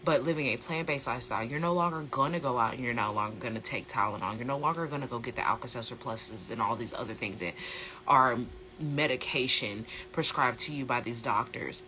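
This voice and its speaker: An American speaker with a slightly hoarse voice, chatting casually and emphatically as a host explaining things in an educational vlog, repeating phrases for stress. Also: medium pitch, brisk, pushed and bright, low-quality recording. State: slightly hoarse from a sore throat. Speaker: host, plant-based lifestyle coach.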